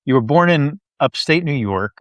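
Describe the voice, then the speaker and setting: A woman's voice: deep voice, expressive, normal speed, no room sound. A woman interviewing a guest, mostly giving brief encouraging prompts and questions.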